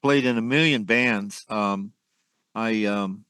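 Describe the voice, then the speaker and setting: An older man, a former musician, reminiscing at length in a casual interview, rambling with many pauses. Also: lowish voice, fairly bright tone, smooth, no echo. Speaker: guest, musician.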